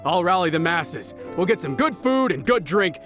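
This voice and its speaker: Genial, deferential male villager for a video game; high, lively delivery, polite and grateful. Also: normal speed, faint music behind. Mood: hearty, grateful.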